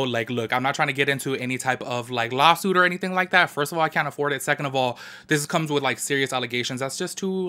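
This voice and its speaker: Chatty man, raspy nasal allergy voice. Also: medium pitch. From Southern California. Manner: chatty, animated, addresses viewers as y'all. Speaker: beauty commentary YouTuber. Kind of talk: casual commentary to camera. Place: home garage. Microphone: camera close up, studio framing.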